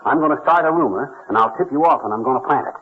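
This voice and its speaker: A man's voice: medium pitch, very fast, natural voice. An actor playing a chummy, scheming husband and straight man in a 1940s radio comedy. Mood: confiding, eager. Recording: noisy, dull old radio recording.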